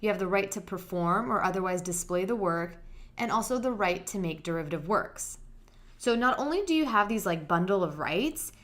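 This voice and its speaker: Woman, podcast host, soothing voice, friendly and direct, mid pitch. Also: lively intonation, moderate pace, fairly bright tone. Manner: friendly, direct, explains step by step. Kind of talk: podcast explainer monologue.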